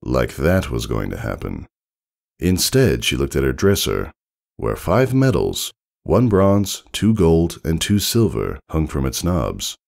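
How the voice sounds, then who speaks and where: A man reading a story aloud as narrator, in an expressive, measured and dramatic storytelling manner. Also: extremely low pitch, vocal fry.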